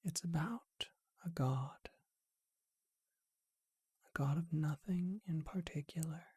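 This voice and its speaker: A performer voicing a charming vampire who tells an old tale in a gentle, breathy ASMR whisper, unhurried and reflective. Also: mid-range voice, no echo, clean studio sound, full character voice.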